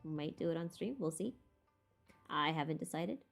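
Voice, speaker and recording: A woman's voice: deep voice, lively intonation, moderate pace, gravelly, soft background music. A woman hosting a casual livestream Q&A, talking informally and hesitantly as she thinks aloud. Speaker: livestream host answering viewer questions. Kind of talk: casual livestream Q&A chat about game lore.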